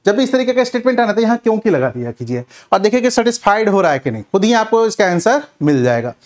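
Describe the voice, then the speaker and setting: A man's voice: high voice, big pitch swings, quick pace, darkish tone. A man teaching an exam revision class in question-and-answer form, addressing students directly with repeated check-in tags. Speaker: history teacher for competitive exams.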